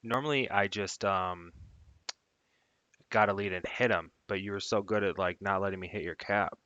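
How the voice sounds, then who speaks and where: Low-voiced man on dull, dry call audio. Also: moderate pace, sharp tone, no room sound. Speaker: guest player on a call. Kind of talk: casual gaming chat.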